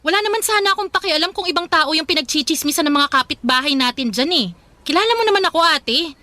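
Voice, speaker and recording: A woman's voice: high voice, expressive, quick pace, pushed and bright, dry recording. A woman playing a worried, indignant younger sister in a radio drama, confronting and pleading in an earnest, accusing way.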